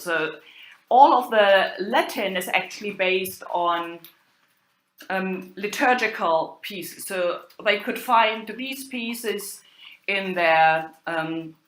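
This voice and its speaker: Slow, halting woman lecturer in echoey room. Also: mid-range voice, a bit bright. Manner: halting, hesitant, thinking aloud. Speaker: university lecturer. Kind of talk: academic lecture on medieval drama. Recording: roomy, echoing sound.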